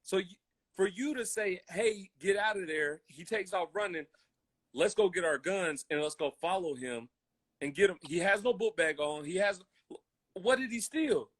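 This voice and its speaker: A man with a high-pitched voice and an American accent talks casually on a podcast. He is emphatic and impassioned, repeating phrases for weight and voicing imagined quotes. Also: expressive, moderate pace, fairly bright tone. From military family, grew up in Germany, Colorado and Hawaii. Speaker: podcast co-host.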